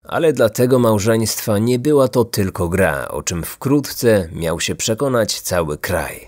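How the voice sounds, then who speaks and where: A man's voice: lowish voice, animated, measured pace, a little mellow, no echo, studio quality. A man narrating a scripted true-crime story as a podcast host.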